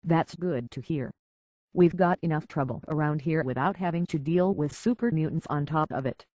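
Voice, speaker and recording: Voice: medium pitch, normal speed, a little mellow, dry recording, dull audio, close to an everyday voice. An actor playing a plainspoken, guarded survivor from a harbor town in a video game, talking in a terse, folksy way. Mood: businesslike, resolute. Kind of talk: video game character acting.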